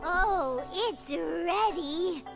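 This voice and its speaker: Squeaky little fairy sidekick from an anime game, super high n chirpy, bouncy pitch, eager. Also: female voice, lively intonation, extremely slow, creaky, full character voice. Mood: excited, helpful. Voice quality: squeaky, childlike. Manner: chirpy, eager, speaks of herself by name. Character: tiny excitable childlike floating fairy companion, female. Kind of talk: anime video game voice acting. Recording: loud game music behind the voice. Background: game music.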